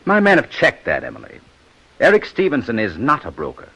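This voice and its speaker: An actor playing a sharp, methodical police inspector in 1950s radio detective style, commanding and accusatory. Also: male voice, mid-range voice, huge pitch swings, fairly quick, creaky, husky, heavily acted, low-quality recording. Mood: accusing. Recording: old-time radio broadcast recording.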